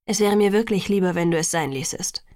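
A woman voicing a courteous, wry young man in an audiobook, quiet and coolly restrained. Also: on the low side, brisk, dry recording, clean studio sound, natural voice. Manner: quiet, murmuring, cool.

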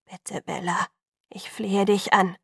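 A woman's voice: medium pitch, fairly even intonation, unhurried, breathy edge, dry recording, studio quality. A woman voicing a hot-headed, wounded teenage boy in an audiobook, intense and imploring. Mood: pleading.

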